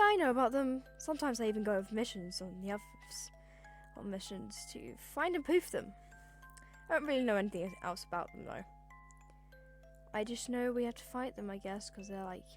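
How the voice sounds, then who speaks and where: Woman as young boy, curious, upbeat, earnest, amateur cartoon dub, medium-high voice, background music. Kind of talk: amateur comic dub acting.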